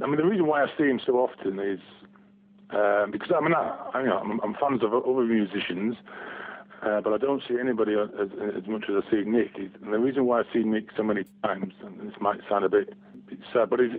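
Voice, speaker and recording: A man's voice: lowish voice, fairly quick, narrow, tinny audio. Casting: man, forties, hesitant phone-in guest, heavy line filtering. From Barnsley, England. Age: 40s. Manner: hesitant, many restarts and ums. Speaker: guest fan. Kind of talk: casual phone interview. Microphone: telephone.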